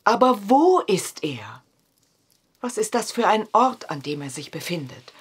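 An actress playing a middle-aged, anguished yet hopeful mother of a vanished son, speaking gravely and formally in a horror audio drama. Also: mid-range voice, big pitch swings, unhurried, heavily acted.

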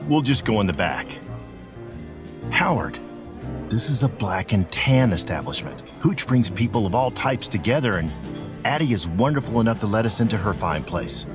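A man's voice: on the low side, big pitch swings, moderate pace, over music. A man playing a confident, courteous 1920s bootlegger in an audio drama. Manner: courteous, assured. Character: smooth-talking, confident 1920s male bootlegger.

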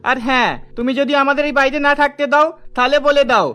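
Casting: man to play earnest young newlywed son, cartoon dub, fast and very high-pitched, insistent. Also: fairly even intonation, pushed and bright. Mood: defiant, protective. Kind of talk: melodramatic cartoon dubbing.

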